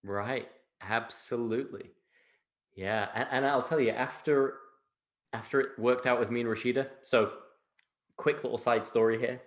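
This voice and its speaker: Man, British accent, interview guest, conversational, emphatic, repeats phrases, mid pitch. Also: steady tone, moderate pace. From England.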